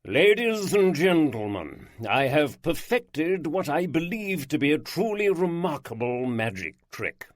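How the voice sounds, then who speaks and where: A man playing a flamboyant aristocratic lord with a rich baritone and an aristocratic drawl, speaking in slow, grand, emphatic phrases. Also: big pitch swings, no room sound, studio quality. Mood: grandiose.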